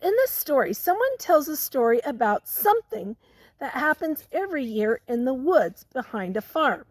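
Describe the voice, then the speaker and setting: A woman's voice: sits high, very animated, no room sound. A woman teacher reading a picture book aloud to young children, stopping to ask them questions and prompt them. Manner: pauses to question and prompt listeners.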